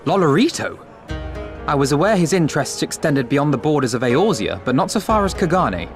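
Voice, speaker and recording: An actor playing a proud, wary young diplomat in a video game, speaking with formal, precise eloquence. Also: male voice, a little high, very animated, brisk, over music. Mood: indignant, suspicious. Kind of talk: scripted video game character dialogue.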